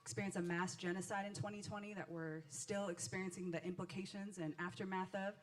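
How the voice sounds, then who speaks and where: A woman's voice: mid-range voice, fairly even intonation, fairly quick. An American woman in her thirties or forties asking a reflective, personal question in an earnest, searching, hesitant way. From Los Angeles, United States. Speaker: community organizer asking an audience question.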